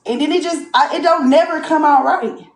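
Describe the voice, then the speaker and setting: Reality show woman, raised pitch, blunt and profane, roomy clip with faint noise. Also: normal speed. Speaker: reality show cast member. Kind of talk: casual confessional chat.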